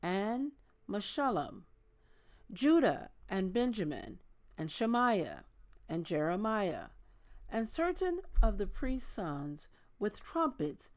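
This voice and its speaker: A woman hosting a Bible reading, speaking in a measured, deliberate manner as she reads aloud and talks informally to listeners. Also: medium pitch, darkish tone. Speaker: host of a daily Bible reading. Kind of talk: reading scripture aloud with casual asides.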